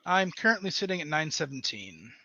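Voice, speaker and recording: A man's voice: fairly high pitch, big pitch swings, a little fast, sharp tone, dry recording. A man chatting casually about gaming as a podcast guest, on a dull, dry lo-fi voice channel.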